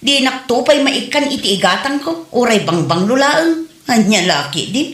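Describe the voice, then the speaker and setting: Woman acting a high-voiced, exasperated Ilocano wife. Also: very animated, moderate pace, fairly bright tone. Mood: irritated. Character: busy working wife, curt and easily exasperated. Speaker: actress in a comedy drama. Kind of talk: Filipino audio comedy drama acting. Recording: echoey room.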